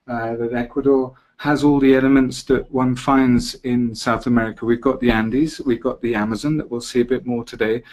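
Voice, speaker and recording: A middle-aged British man from Britain hosting a travel webinar from an office, friendly and chatty, with frequent "uh" fillers. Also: mid-range voice. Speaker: webinar host. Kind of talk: live travel webinar presentation. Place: office in Quito.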